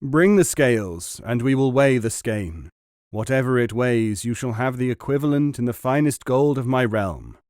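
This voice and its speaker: A young king from a folk tale barking royal orders, as a male reader plays him. Forceful, proclaiming, in a dry close sound. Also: medium pitch, animated, moderate pace, dry recording, lightly acted. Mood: grand.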